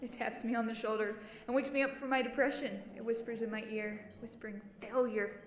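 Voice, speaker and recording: A woman's voice: a little high, little pitch movement, a little fast, echoey room, lightly acted. A woman playing a wounded, defiant character in an intense dramatic monologue, brooding and emphatic. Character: wounded, defiant woman nursing an old insult. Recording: music bed behind voice.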